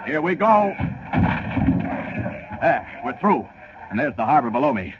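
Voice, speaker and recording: An actor playing a confident, resolute superhero who thinks aloud in clipped declarations, in 1940s radio serial style. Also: male voice, medium pitch, very animated, a little slow. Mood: bold, determined. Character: resolute superhero man in disguise, confident, purposeful. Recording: noisy, muffled 1940 radio recording.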